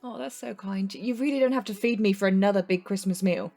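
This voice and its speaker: Radio play: actress as candid woman, dry wit, unafraid to challenge, medium pitch, faint music. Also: brisk, warm tone, natural voice. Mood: frank. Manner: direct, dry. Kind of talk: audio drama acting.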